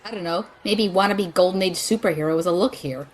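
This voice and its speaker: A woman's voice: fairly low pitch, a little fast, lightly acted. A woman playing a tech-minded, sarcastic female sidekick who teases dryly, in an audio drama. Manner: dry, sarcastic, teasing.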